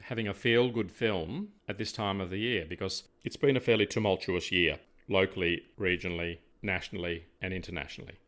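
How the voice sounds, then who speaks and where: An older man with an Australian accent, chatting as an interviewer in a measured, discursive way. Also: deep voice, steady tone, fairly bright tone. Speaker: podcast co-host, interviewer. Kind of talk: casual conversational interview.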